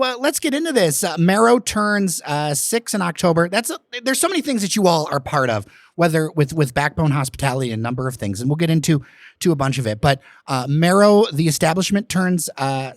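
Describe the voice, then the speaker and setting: Lively, chatty man hosting a podcast. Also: on the high side, no echo, studio quality. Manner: chatty, filler-heavy. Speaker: podcast host. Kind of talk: casual interview.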